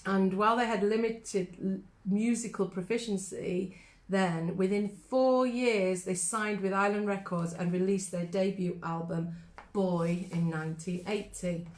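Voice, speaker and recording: A woman's voice: mid-range voice, steady tone, measured pace, darkish tone, roomy sound. An English woman hosting a singalong, chatting casually, laughing often and reading facts aloud. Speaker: singing teacher and host. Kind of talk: casual chat.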